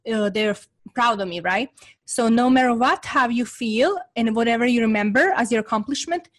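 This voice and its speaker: A woman from Serbia with a Serbian accent, a guest entrepreneur talking earnestly in an interview and often checking in with "right?". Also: on the high side. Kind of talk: motivational interview talk.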